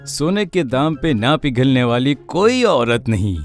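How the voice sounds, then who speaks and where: Crafty fake guru for a cartoon fable, male actor: slow, swooping delivery, pompous and greedy, over a music bed. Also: medium pitch, very animated. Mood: sly, commanding. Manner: pompous, measured, dramatic pronouncements. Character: sham holy man, crafty, pompous, greedy swindler. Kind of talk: animated moral story acting.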